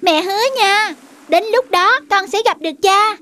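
A woman as a very high-pitched little cartoon boy. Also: normal speed, sharp tone. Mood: eager. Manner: childlike, insistent. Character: eager, stubborn little boy, son of a god. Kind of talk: cartoon myth dubbing. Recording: music behind.

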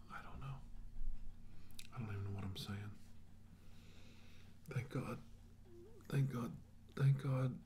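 A middle-aged man playing a weary, shaken doctor in a halting, brooding ASMR monologue that often drops toward a whisper. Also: mid-range voice, noisy background, natural voice. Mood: grave, hesitant. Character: weary, shaken male doctor recounting a battle with aliens. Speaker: performer of ASMR roleplay. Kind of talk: slow ASMR roleplay monologue, soft and partly whispered.

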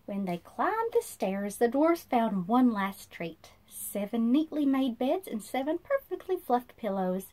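Animated, high-voiced woman reading a storybook. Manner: sing-song, animated, asks listeners questions. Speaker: children's storyteller. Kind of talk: expressive read-aloud of a children's storybook. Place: small indoor room.

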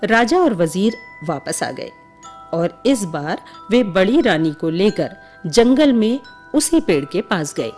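Woman reading a kids bedtime story, takes it really slow, music with chimes behind her. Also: mid-range voice, very animated, darkish tone. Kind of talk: scripted bedtime story narration for children.

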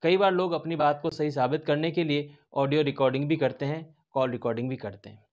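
A middle-aged man speaks in a slightly raised pitch. He explains in a formal, measured, teacherly way, like an advocate presenting a lesson. The lapel-mic recording is a touch dull. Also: fairly even intonation, a little mellow. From India. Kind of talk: explanatory legal lesson to camera. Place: small indoor office with books and curtains.

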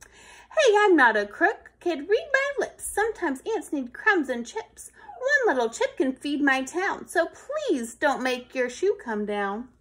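A woman's voice: sits high, sing-song, a little slow, fairly bright tone, full character voice. A woman voicing a tiny, plucky ant in a rhyming storybook, imploring and emphatic. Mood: indignant. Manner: imploring, emphatic sing-song rhyme.

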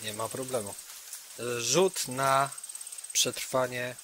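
Bright-voiced man running a tabletop game. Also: mid-range voice, steady tone, takes it slowly. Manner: conversational, addresses players directly. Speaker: game master. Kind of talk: improvised tabletop game narration.

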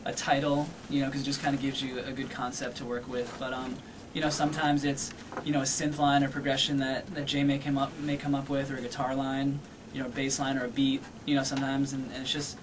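A man in his thirties speaks eagerly as a band guest on the radio, rambling through fillers at a fast clip. He stands back from the mic, and steady studio noise is heard. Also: medium pitch, far from the mic. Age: 30s. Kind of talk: casual interview talk.

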